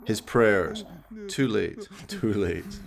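A man's voice: on the low side, sing-song, drawn-out words, vocal fry, a crowd in the background. A man giving theatrical, wry scripted narration for a horror radio play. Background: music.

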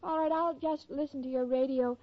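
A woman's voice: sits high, fairly even intonation, a little fast, natural voice. An actress playing a naive, ditzy young blonde woman in a 1940s radio sitcom. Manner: naive, literal-minded. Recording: dull vintage 1940s radio, studio audience.

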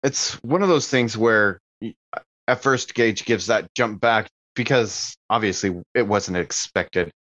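A man's voice: fairly low pitch, unhurried, fairly bright tone, no room sound, lo-fi sound. A man casually improvising his character's actions in a tabletop game, hesitant and thoughtful.

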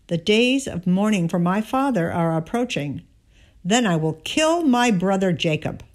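A woman's voice: mid-range voice, expressive, measured pace, pitched above the performer's own voice, close to an everyday voice. A woman reading the words of a wronged, bitter elder son in a scripture story, earnestly.

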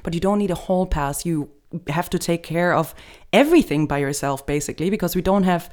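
Lower-pitched woman from Germany explaining. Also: animated, fairly quick, a little mellow. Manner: explanatory, gives many examples. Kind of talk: casual conversational explaining.

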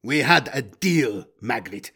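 A man voicing a tough, menacing male bounty hunter in an audiobook, taunting and deliberate. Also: medium pitch, animated, creaky, bright, forceful tone, slightly rough, heavily acted. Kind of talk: audiobook character voice.

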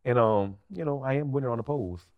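Podcast guest: man, mid-pitched, rapid delivery, New Orleans accent, emphatic and slangy. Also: phone-quality sound. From New Orleans, USA. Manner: blunt, slangy, emphatic with repeated phrases. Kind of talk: casual, animated interview chat.

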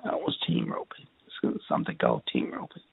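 An older man from Texas with a raspy, strained voice, telling his life story in an interview in a halting way, repeating words. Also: no room sound, in a whisper. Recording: tinny phone line.

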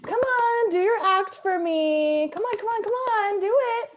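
Woman as a high-voiced, mocking radio wife. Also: expressive. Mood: mocking amusement. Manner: teasing, drawn-out sarcasm. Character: mocking, amused wife of a daydreaming husband. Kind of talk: classic radio comedy play acting.

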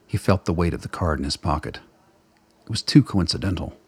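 Very deep, husky male narrator. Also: animated, a little fast, a little mellow. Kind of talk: scripted radio play narration.

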